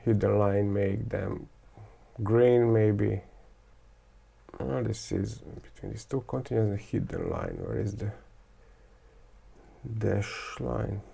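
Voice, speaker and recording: Gravelly-voiced man. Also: fairly low pitch, lively intonation, mellow. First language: Croatian, Serbian and Hungarian. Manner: thinking aloud, halting, many fillers and restarts. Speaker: teacher. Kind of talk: screen-recorded software tutorial.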